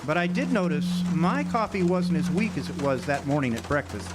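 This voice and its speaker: An actor playing a world-weary drifter, narrating a hardboiled radio drama in a wry, hesitant way. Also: male voice, a little high, lively intonation, normal speed, close to an everyday voice. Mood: wry, reflective. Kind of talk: noir-style radio drama narration and dialogue. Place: outdoor amphitheater stage. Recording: noisy outdoor live recording with birdsong.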